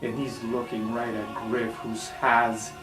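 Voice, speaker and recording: A man narrating an improvised tabletop fantasy game as game master, in an excitable, dramatic way. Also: mid-range voice, measured pace. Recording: roomy echo, distant, background music.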